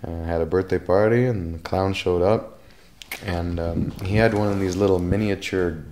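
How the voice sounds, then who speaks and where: A man with a low voice tells a personal anecdote. He is casual and conversational, pausing a little as he goes. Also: darkish tone.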